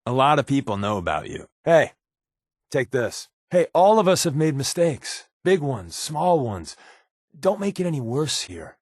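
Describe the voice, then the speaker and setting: An actor playing a wisecracking, sardonic spy companion in a video game, with casual scripted dialogue. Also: male voice, medium pitch, big pitch swings, measured pace, no echo, clean studio sound. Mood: earnest, persuasive. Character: wry, joking male spy companion with dry swagger.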